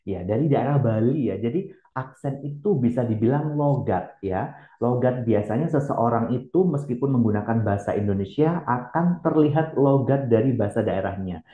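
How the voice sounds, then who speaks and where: A man giving a lecture, explaining step by step with many hesitant filler pauses. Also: medium pitch, animated. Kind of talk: recorded lecture. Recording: echoey room, phone-like band.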